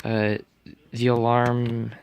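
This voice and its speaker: A man's voice: medium pitch, monotone, very slow, gravelly, a little mellow. A man giving casual unboxing and setup commentary, muttering to himself as he works. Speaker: hobbyist reviewer. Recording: noisy home recording with clicks and beeps.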